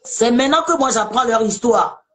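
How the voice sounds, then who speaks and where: A woman's voice: a little high, lively intonation, normal speed, fairly bright tone. A woman from Togo hosting a casual live debate, chatty and emphatic, often repeating herself. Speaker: live show host.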